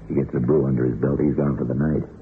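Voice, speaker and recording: A man's voice: a bass voice, little pitch movement, fast talker. An actor playing an older, weary, guilt-ridden small-town sheriff and hangman in a folksy, brooding radio drama. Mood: matter-of-fact. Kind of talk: old-time radio drama acting. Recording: tinny, hissy old radio recording.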